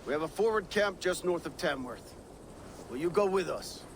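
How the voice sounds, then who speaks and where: An actor playing a confident Viking war leader in a game cutscene, assured, hearty and commanding. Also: male voice, fairly high pitch, lively intonation, moderate pace, noisy background. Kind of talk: video game cutscene acting.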